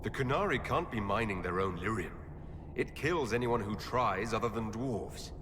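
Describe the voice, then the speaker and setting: A man's voice: fairly low pitch, expressive, normal speed, noisy background, close to an everyday voice. A man playing a commanding, resolute and wry military leader in a video game cutscene. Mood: questioning.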